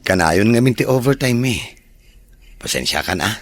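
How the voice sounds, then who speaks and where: An actor playing a calm, patient working husband in an Ilocano radio drama. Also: male voice, on the low side, normal speed, no echo, studio quality, lightly acted. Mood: reassuring.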